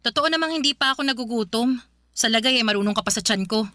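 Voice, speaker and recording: A woman's voice: a little high, fast, pushed and bright, no room sound. A woman playing a bitter, grieving young wife in a radio drama, with a snappish, emotional delivery. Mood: sullen.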